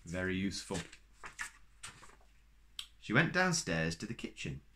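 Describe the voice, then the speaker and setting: A man reading a children's picture book aloud in a slow, playful storytime manner, adding little asides to listeners. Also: low voice, big pitch swings, a bit bright, a little hiss or hum.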